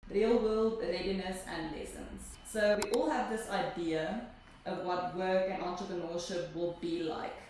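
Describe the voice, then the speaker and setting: A woman interviewer reading out prepared questions in a brisk, upbeat way. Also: fairly low pitch, steady tone, a little mellow. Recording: echoey room, distant mic, audible noise.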